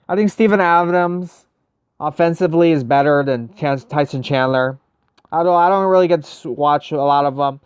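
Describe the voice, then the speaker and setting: Man with a clear, smooth voice. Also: a little high, no echo, studio quality. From United States. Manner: chatty, answers viewers' messages, thinks aloud. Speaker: livestream host. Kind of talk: casual livestream gameplay chat.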